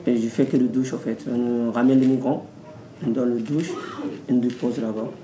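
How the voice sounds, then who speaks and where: A man's voice: mid-range voice, steady tone, normal speed, roomy sound, background noise. A man who works at a shower service, speaking in short, simple phrases in a testimonial interview.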